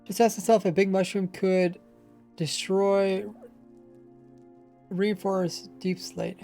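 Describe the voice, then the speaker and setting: A man's voice: high voice, flat delivery, slow pace, creaky, warm tone, soft background music. A man streaming a Minecraft session, chatting casually and rambling as he plays, with slightly mumbled, lazy pronunciation and a faint accent. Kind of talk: casual livestream chat while playing.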